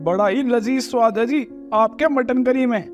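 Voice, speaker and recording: A man playing a courteous, expressive townsman in a cartoon story. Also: very high-pitched, a little slow, lo-fi sound, over music. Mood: cheerful, appreciative. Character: adult male supporting townsfolk, courteous and expressive. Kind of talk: Hindi cartoon story dubbing.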